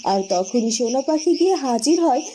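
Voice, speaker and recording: A woman's voice: high-pitched, animated, normal speed, background noise. A woman narrating a scripted fairy tale as storyteller.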